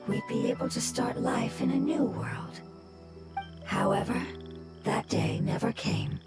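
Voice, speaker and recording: An actress voicing a gentle, wise female creature telling of a lost homeland in a calm, measured way, over loud game music. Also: fairly high pitch.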